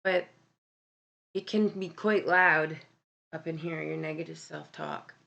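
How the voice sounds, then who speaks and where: Deep-voiced woman, slow and gravelly. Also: fairly even intonation, a bit bright, lo-fi sound. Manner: candid, blunt, self-correcting, with many ums. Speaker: livestream host. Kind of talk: casual live-stream talk about mental health.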